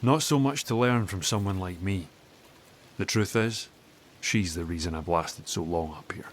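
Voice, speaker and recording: An actor playing a gruff, proud older father who lives in the hills, wry in manner, in a video game. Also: deep voice, normal speed, slight background noise, natural voice. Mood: stubborn. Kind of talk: video game dialogue acting.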